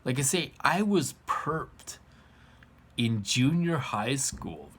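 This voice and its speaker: A man's voice: mid-range voice, big pitch swings, slow pace, fairly bright tone, husky. An American man in his forties giving an informal monologue to viewers, colloquial, repetitive and emphatic. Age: 40s. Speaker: vlogger.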